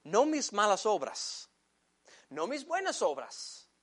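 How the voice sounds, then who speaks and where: A man whose first language is English preaching a sermon in Spanish, addressing the congregation as brothers and slowing down to stress key phrases. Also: sits high, huge pitch swings, fairly bright tone. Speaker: pastor.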